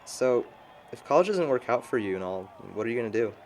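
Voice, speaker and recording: A young man playing a bookish, low-key friend in an indie film, talking in a hesitant, understated way. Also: big pitch swings, brisk, darkish tone, a little hiss or hum, natural voice. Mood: mild, curious. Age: young adult. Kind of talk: naturalistic amateur film dialogue.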